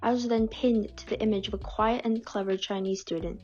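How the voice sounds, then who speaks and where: A woman reading out submitted personal stories in a calm, measured way. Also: medium pitch. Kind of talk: scripted reading. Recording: dull lo-fi audio with faint music.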